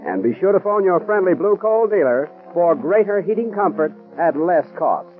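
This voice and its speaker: Old-timey radio announcer guy, kinda high voice, formal and crisp, tinny sound. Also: very animated, moderate pace. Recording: old radio broadcast with music.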